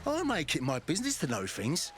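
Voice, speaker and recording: An actor playing a cocky, fast-talking male treasure hunter in a video game, boastful and cheeky like a salesman. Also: fairly high pitch, wildly swooping pitch, fairly bright tone, a little hiss or hum. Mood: hopeful, cheeky. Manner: boastful, wheedling salesman patter. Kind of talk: video game character acting.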